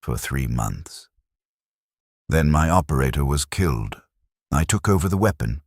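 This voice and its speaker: A man voicing a battle-worn, fatalistic heavy gunner in a sci-fi war story, precise and deliberate. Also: very deep voice, animated, slightly rough, dry recording, clean studio sound, exaggerated voice. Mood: detached, grim. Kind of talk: character dialogue in military sci-fi story narration.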